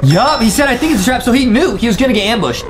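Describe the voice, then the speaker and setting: A man's voice: very high-pitched, big pitch swings, fast talker. A young man hosting a reaction video, giving hyped live commentary full of exclamations, slang and repeated catchphrases. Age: young adult. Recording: loud music under the voice.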